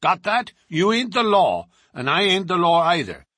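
A man voicing a menacing male prizefighter thug who talks in rough, ungrammatical threats. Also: sits high, sing-song, moderate pace, sharp tone, dry recording, voice pushed up, exaggerated voice. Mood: threatening.

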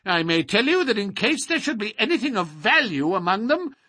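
A man voicing a querulous, miserly little old lord with a sharp crackle and a quick, intense manner. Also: high-pitched, very animated, breathy edge, no room sound, clean studio sound, pitched above the performer's own voice, exaggerated voice. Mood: indignant, shrill.

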